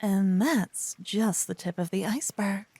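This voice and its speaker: A woman playing a silly, adorable young paladin in an ASMR audio roleplay, teasing and theatrical, hushed in places. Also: mid-range voice, a little slow, slightly rough, no echo. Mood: proud, lecturing. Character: adorable silly young female paladin, bossy, smitten, playful know-it-all.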